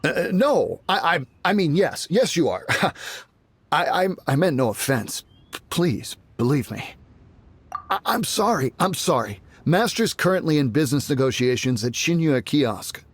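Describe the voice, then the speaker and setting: An actor voicing a nervous manor servant who stammers and apologizes. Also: male voice, a little high, sing-song, moderate pace, husky, no echo. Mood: fearful.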